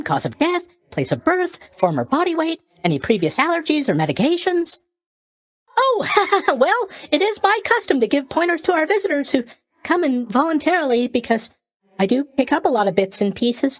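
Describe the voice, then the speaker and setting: A man's voice: very high voice, no room sound. A man playing a cheerful, drowsy young god of sleep in a video game, with a chatty, blithely tactless, rambling manner. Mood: flustered, rambling, then chatty, bashful. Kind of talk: animated video game character voice lines.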